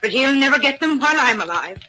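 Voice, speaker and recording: An actress playing a bitter, scheming old widow in a 1940s radio thriller. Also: female voice, high-pitched, expressive, fast talker, bright, forceful tone. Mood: spiteful. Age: older. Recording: noisy vintage 1940s radio recording.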